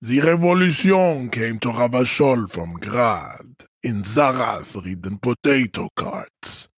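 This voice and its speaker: An actor playing a haughty, hulking supremacist strongman in a video game, speaking in a solemn, pompous, declamatory manner with a husky voice. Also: mid-range voice, animated, takes it slowly, no echo, studio quality. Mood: cold, haughty. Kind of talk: scripted game character monologue.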